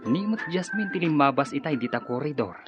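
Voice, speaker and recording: An actor in an Ilocano radio drama playing a gossipy, judgmental office employee with a husky voice. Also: male voice, a little high, expressive, fairly quick, lightly acted. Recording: dull lo-fi audio, faint music.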